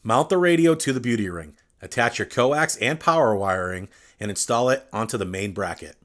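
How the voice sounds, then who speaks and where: A man reading a scripted step-by-step tutorial, addressing the viewer directly in a clear instructional manner. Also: lowish voice, lively intonation, normal speed, a bit bright. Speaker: instructional video narrator.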